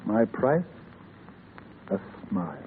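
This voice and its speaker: An actor playing a wealthy, courteous, self-assured suitor with a polished, formal manner in a 1930s radio drama. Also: male voice, on the low side, very animated, slow. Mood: reassuring, smooth. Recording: tinny, faintly noisy 1930s radio broadcast.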